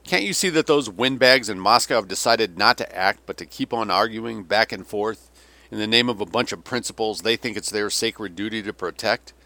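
A bitter, world-weary old Russian general, acted by a male audiobook reader, ranting and sneering with theatrical scorn. The voice is fairly low and very bright, with a dry, close sound. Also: moderate pace, dry recording. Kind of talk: dramatic audiobook character reading.

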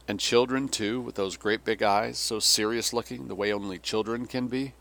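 A man's voice: fairly low pitch, lively intonation, moderate pace, bright, forceful tone. A man reading an audiobook as a weary, vulgar old Russian general, sardonic and theatrical, given to sneering rants. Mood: weary, wistful. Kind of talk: dramatic audiobook character reading.

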